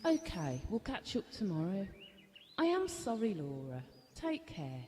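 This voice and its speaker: A woman's voice: medium pitch, huge pitch swings, unhurried, dark tone. A woman playing an inquisitive, consoling friend on a phone call in an audio drama. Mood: sympathetic. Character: Rachel, Laura's concerned, chatty, supportive woman friend on the phone. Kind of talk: naturalistic audio drama acting. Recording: long reverb with clear background noise.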